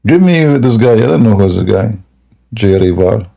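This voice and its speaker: A man hosting a live debate stream in a scoffing, jokey way, laughing often and clearing a sore throat. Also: lowish voice, lively intonation, moderate pace, warm tone. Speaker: livestream debate host. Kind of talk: mocking live debate chat.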